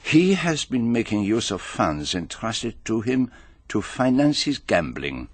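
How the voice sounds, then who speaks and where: A courteous, self-assured detective in a radio mystery, his husky voice rising and falling expressively. He phrases everything with fussy precision and theatrical deliberation, close and dry on the microphone. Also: fairly low pitch, sing-song, a little slow, no echo, full character voice. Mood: measured, confiding. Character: fastidious, self-assured male foreign detective, courteous and methodical. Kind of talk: British radio drama acting.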